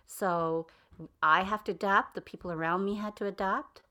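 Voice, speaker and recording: A woman's voice: mid-range voice, fairly even intonation, normal speed, pushed and bright. A middle-aged mother speaking earnestly in an interview, explaining things with hesitant ums and uhs. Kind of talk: casual interview talk.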